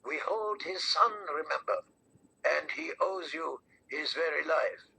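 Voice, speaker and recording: An older man voicing a solemn, persuasive priestess of a fire god in an audiobook, raspy, creaky and deliberate. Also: a little high, animated, pushed and bright, full character voice, poor audio. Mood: sly, assured. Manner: portentous, deliberate.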